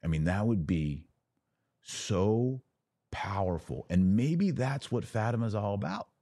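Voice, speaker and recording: A man with a deep voice speaks in a dry, close recording. He delivers an unscripted monologue as a religious commentator, emphatic and given to repeating phrases for weight. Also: lively intonation, unhurried, dark tone, dry recording. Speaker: podcast host and religious commentator.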